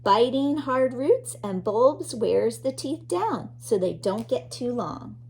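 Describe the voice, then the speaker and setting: Lady teacher doing a read-aloud for kids, slow and expressive, bit of creak in her voice, some background noise. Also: a little high. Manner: expressive, addresses young listeners with questions and interjections. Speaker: teacher reading a children's book aloud. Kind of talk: read-aloud of a children's nonfiction book with asides.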